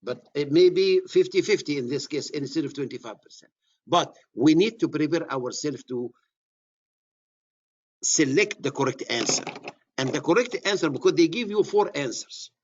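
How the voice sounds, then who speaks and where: Egyptian-accented lecturer, husky voice. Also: male voice, a little high, animated, moderate pace, lo-fi sound. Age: middle-aged. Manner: repeats key words, restarts phrases. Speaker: surveying instructor. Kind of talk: classroom lecture.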